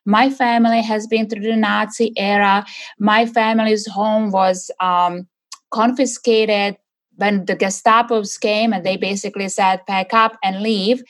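A woman's voice: mid-range voice, level tone, unhurried. Middle-aged woman, interview guest, earnest and searching, frequent fillers. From Czechoslovakia. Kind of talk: conversational interview answers.

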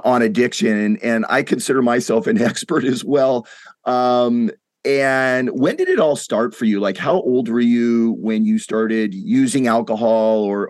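A middle-aged man hosting a podcast, hoarse and raspy from a head cold, speaking in an earnest, inspirational way. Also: mid-range voice, no room sound. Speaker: podcast host. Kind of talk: podcast monologue and interview.

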